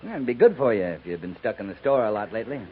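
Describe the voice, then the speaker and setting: An actor playing a bashful, plain-spoken cavalry captain in a 1950s radio western. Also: male voice, fairly low pitch, sing-song. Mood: tentative, fond. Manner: halting, bashful, plain frontier speech. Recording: narrow-band vintage 1950s radio recording.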